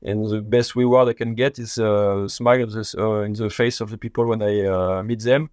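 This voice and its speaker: A man with a French accent, a native French speaker, talking as a podcast guest in a casual interview, halting and searching for his words in English. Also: lowish voice, steady tone, darkish tone, clear, resonant tone, no echo, clean studio sound. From France.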